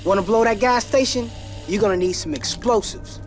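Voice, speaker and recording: An actor playing a brash, eager, streetwise rebel with a slangy, urgent delivery in a video game. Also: male voice, sits high, normal speed, faint music behind, lightly acted.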